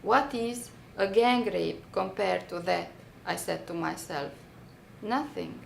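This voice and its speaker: A woman with a Romanian accent reads her personal story as a dramatic monologue, theatrical and deliberate, with pointed pauses and bitter irony. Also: medium pitch, vocal fry, reverberant, slight background noise. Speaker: writer reading her own memoir.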